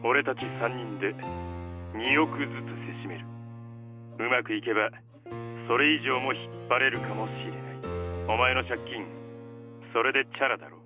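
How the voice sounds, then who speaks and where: Male actor as cocky younger-brother schemer, visual novel. Brisk, casual coaxing, rough masculine speech, music underneath. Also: medium pitch, lightly acted. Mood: confident scheming. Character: smooth scheming younger brother, adult man, cocky and persuasive. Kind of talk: Japanese visual novel voice acting.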